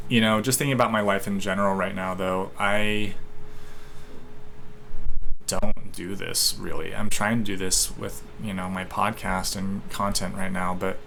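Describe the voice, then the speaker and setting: A man's voice: sits low, level tone, a little slow, gravelly, pauses often, fairly bright tone, a little hiss or hum. A young man in casual solo talk, reflecting aloud in a hesitant, rambling way with many restarts. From United States. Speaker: podcaster. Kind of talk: casual solo talk while taking an online quiz.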